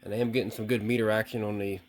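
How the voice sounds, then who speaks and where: A man's voice: lowish voice, level tone, racing, a crowd in the background. A man giving a casual, unscripted hands-on demonstration, thinking aloud with some hesitation. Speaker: vlogger testing vintage CB radios.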